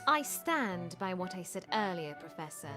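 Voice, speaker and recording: A woman's voice: fairly low pitch, big pitch swings, moderate pace. A woman voicing a proud, well-bred class representative in a visual novel, with precise, polite diction. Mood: indignant, haughty. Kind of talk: scripted visual novel voice acting. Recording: clear background music.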